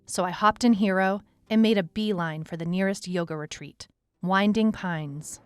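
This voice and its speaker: A woman's voice: mid-range voice, normal speed, clear, resonant tone, lightly acted. A woman playing a wry, anxious detective who narrates her own case in a noir-like audio drama. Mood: wry, reflective. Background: music.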